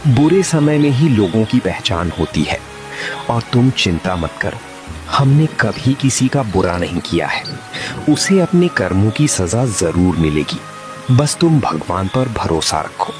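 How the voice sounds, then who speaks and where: A man's voice: lowish voice, very animated, unhurried. A man playing a poor, devout farmer in a moral-story cartoon, speaking with patient, earnest reassurance. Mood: reassuring. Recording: music behind the voice.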